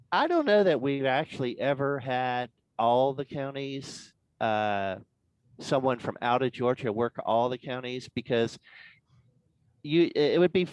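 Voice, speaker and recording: A man giving an informal, explanatory presentation as a guest speaker on an online call, in a halting way. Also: mid-range voice, smooth, no echo, muffled recording. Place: online club meeting.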